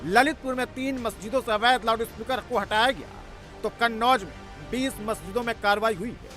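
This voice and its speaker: A strikingly high-pitched man narrates a news report with a sharp, forceful tone. His scripted delivery is dramatic and emphatic, with music playing clearly behind him. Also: fairly quick.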